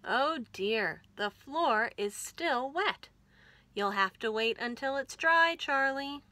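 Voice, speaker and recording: Bright, lively woman reading to children. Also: sits high, slow pace, dry recording. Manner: expressive, enunciated, addressing children directly. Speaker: children's library storytime host. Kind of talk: animated read-aloud storytelling for young children.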